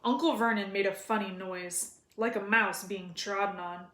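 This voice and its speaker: A woman's voice: medium pitch, fairly even intonation, moderate pace, sharp tone. A woman narrating a children's story as a read-aloud. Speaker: reader of a children's novel. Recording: roomy echo.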